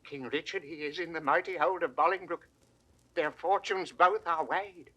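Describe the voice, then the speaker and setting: An actor in a 1960s Shakespeare audio drama playing a grave, plain-spoken head gardener with deliberate, declamatory delivery. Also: male voice, fairly high pitch, sharp tone, slightly rough, lo-fi sound. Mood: apologetic, firm.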